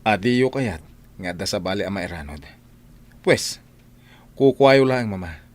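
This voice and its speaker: Stubborn grown son in radio drama, lowish voice, firm and fed up, defiant. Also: male voice, lively intonation, unhurried, a little mellow.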